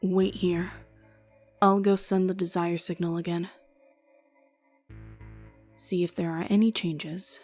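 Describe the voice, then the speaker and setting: A woman voicing a calm, methodical female doctor who speaks in a measured, matter-of-fact way. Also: on the low side, soft background music. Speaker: voice actor in a fan game dub.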